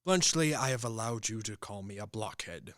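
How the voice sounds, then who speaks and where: Wildly theatrical romantic army officer. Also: male voice, lowish voice, animated, normal speed, a bit bright, no room sound, clean studio sound. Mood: defiant, proud. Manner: grandiose, declamatory. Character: hot-blooded, theatrical young romantic army officer, grandly disillusioned. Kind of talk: stage-style radio play acting.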